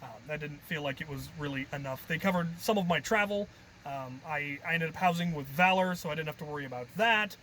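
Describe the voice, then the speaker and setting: A man's voice: fairly high pitch, a little fast, pushed and bright, slight background noise, rough recording. A man telling stories in a casual, rambling vlog style, thinking aloud with a jokey, self-deprecating manner. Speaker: hobby video creator and host.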